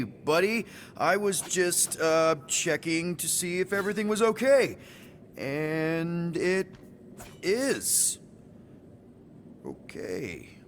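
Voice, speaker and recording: Casual, rambling space crew leader. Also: male voice, on the high side, a bit bright, slight background noise, heavily acted. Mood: curious, awkward. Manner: casual, rambling, boyish slang. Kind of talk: video game voice acting.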